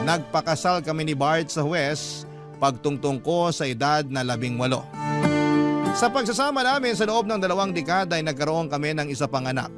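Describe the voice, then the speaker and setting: A man with a Filipino accent reading a scripted letter dramatically as a love-story narrator for radio. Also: fairly high pitch. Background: loud background music.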